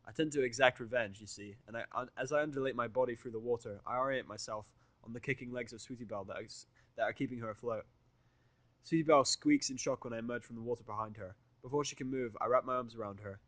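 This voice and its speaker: A man's voice: mid-range voice, fast talker, fairly bright tone, no echo. A man reading a first-person story aloud as narrator, with an informal, wry delivery. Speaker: fan fiction reader.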